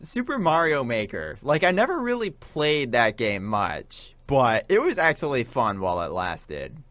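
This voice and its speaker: Young man, lively swinging delivery, dry sound. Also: mid-range voice, a little slow, dry recording. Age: young adult. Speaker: college radio show co-host. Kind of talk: casual chat about video games.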